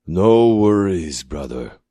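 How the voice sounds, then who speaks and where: Man playing a husky, sly old hustler. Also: sits low, lively intonation, takes it slowly, warm tone, dry recording, clean studio sound, exaggerated voice. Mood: coaxing. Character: shrewd old male scrap-meat hustler, coaxing.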